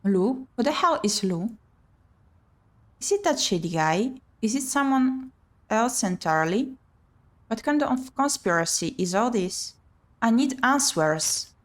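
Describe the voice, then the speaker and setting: A woman streamer reading a visual novel aloud in an expressive, theatrical way. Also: a little high, unhurried. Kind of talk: dramatic read-aloud narration. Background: game music.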